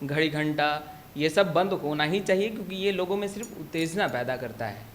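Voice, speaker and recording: A male news reporter with a voice a little higher than average. He speaks in the style of a news introduction, laying out positions and then putting questions to an interviewee. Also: moderate pace, a little hiss or hum.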